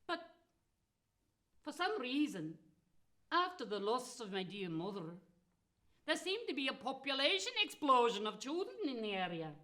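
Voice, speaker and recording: A woman's voice: high-pitched, bright, forceful tone, full character voice. A woman playing a spiteful, gleeful, foul-mouthed witch who tells comic fairy tales in a theatrical, cackling manner. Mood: spiteful, aggrieved. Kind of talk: comic storytelling monologue.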